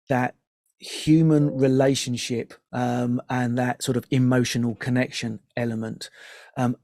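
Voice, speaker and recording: A man with a noticeably creaky, gravelly voice at a middle pitch, recorded very dry. He talks conversationally as an interview guest, explaining his work with pauses mid-sentence and emphatic repetition. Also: darkish tone, no room sound. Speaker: guest, composer and music producer.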